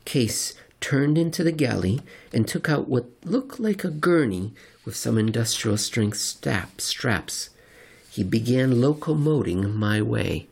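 A man's voice: medium pitch, expressive, slow pace, creaky, a little mellow, slightly rough. A man hosting a podcast, reading in a wry, dramatic way that mixes theatrical comic narration with casual commentary. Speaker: podcast host and reader.

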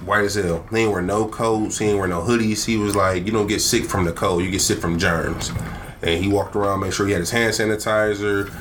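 A man's voice: on the low side, fairly even intonation, brisk, noisy background. A young man co-hosting a casual podcast, nasal from a cold, rambling and swearing as he tells stories. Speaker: podcast co-host.

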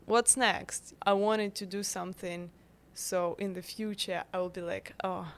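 A woman in her twenties from Ukraine, with a Ukrainian accent, talking as a guest in a casual interview, halting and full of fillers. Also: medium pitch, fairly even intonation. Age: 20s. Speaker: guest, bakery founder.

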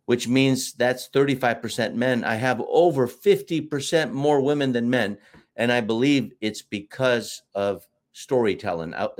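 A middle-aged American man, a marketing teacher talking at length as an interview guest, in an expansive storytelling way with fillers. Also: mid-range voice. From United States. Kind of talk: casual interview talk.

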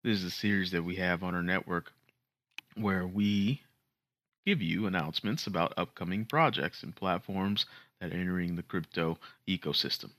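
A man's voice: sits low, moderate pace, a bit bright. A man hosting a podcast, opening the show and questioning a guest in a casual, chatty way. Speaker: podcast host. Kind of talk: show intro and interview.

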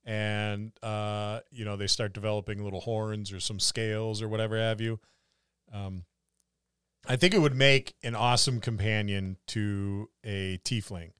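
A man's voice: lowish voice, steady tone, measured pace, a bit bright, smooth, dry recording, clean studio sound. A man co-hosting a podcast and chatting casually about tabletop games. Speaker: podcast co-host. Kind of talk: casual tabletop gaming discussion.

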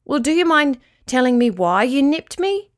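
A woman voicing a friendly old male dog in a children's story, speaking gently, politely and reassuringly. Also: high voice, big pitch swings, normal speed, voice pushed up. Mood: surprised, polite.

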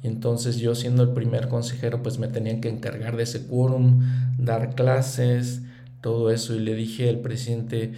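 A man's voice: mid-range voice, monotone, normal speed, dark tone, roomy sound, a little hiss or hum. A middle-aged man giving a reflective religious lesson, earnest and thinking aloud as he speaks. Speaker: scripture study teacher.

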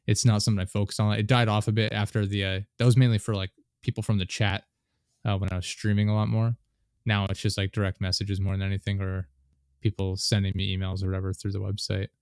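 A man's voice: fairly low pitch, level tone, fast talker, clean tone, dry recording, clean studio sound. A man in his late twenties hosting a casual podcast chat, rambling with many fillers and restarts. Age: 20s. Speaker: podcast host.

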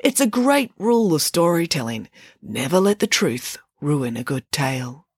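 A woman voicing a confident, bossy nanny pig who tells stories in a brisk, emphatic way. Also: deep voice, very animated, slightly rough, dry recording, studio quality, heavily acted. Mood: assured.

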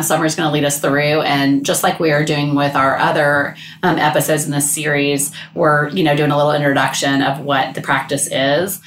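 A woman co-hosting a podcast in casual chat, with a loud, booming voice and an enthusiastic manner full of restarts. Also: roomy sound, slight background noise. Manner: enthusiastic, many fillers and restarts. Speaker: podcast co-host.

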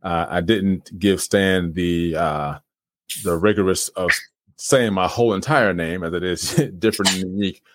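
A man, a guest on a podcast, talking casually about himself with many pauses. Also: low-pitched. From Trenton, New Jersey. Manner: relaxed, many fillers and uhs. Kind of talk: casual interview talk.

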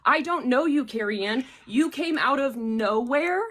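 Accusatory woman caller with a higher voice. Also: animated, measured pace, a bit bright. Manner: accusatory, threatening. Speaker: phone caller. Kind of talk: confrontational phone argument.

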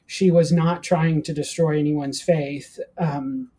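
Husky, mellow American man telling stories. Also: on the high side, expressive, slow. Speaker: guest, professor of ancient Christianity. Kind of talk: casual interview storytelling.

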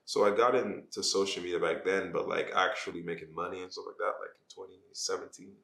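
Man, Jamaican accent, very low pitch, bright forceful tone, loose slangy interview chat. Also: fairly even intonation, brisk, creaky. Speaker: podcast guest, social media page creator. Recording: echoey room.

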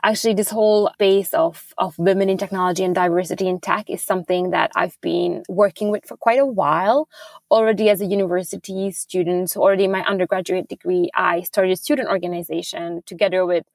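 A woman's voice: on the low side, fairly even intonation, a little fast, darkish tone, no echo, studio quality. Young woman from Hungary, earnest founder. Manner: earnest, fluent with frequent small restarts. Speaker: startup founder guest. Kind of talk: conversational interview answers.

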